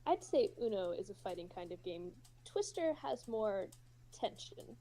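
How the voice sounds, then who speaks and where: A woman's voice: medium pitch, sing-song, a little slow, mellow, rough recording. A woman voicing an easygoing, joking young man with casual, matter-of-fact delivery, in a story reading. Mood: amused.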